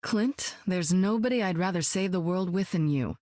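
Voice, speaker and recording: A seasoned woman superspy with a cool, wry, self-assured delivery, voiced by an actress for a video game. Her voice sits a little low and is recorded close and dry, with no room around it. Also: normal speed, darkish tone, no echo, natural voice. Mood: warm, amused. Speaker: game hero.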